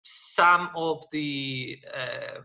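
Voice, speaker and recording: A man from Greece, speaking as a data science panelist, halting with many fillers and restarts. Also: a little high, gravelly, pushed and bright. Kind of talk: panel discussion, unscripted explanation.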